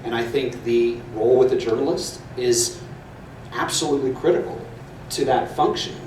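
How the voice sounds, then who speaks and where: A man speaking on a conference panel in a measured, earnest way, with frequent fillers. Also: medium pitch, a little mellow, a little hiss or hum. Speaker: panelist, public relations professor. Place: large echoey conference hall. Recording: echoey hall audio from far off.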